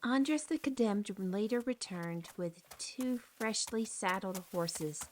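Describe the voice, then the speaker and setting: A woman delivers scripted storybook narration as a fantasy narrator. Her voice sits at a medium pitch, with clear noise behind it. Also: takes it slowly.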